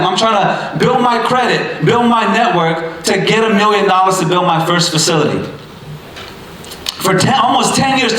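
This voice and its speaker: A man in his thirties with a high-pitched voice delivers a fired-up motivational speech, hammering lines home through repetition and the odd shout. The sound rings around a very echoey hall. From Bangladesh. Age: 30s. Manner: emphatic, punchy repetition, sometimes shouting. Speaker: motivational speaker. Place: event stage in a large hall.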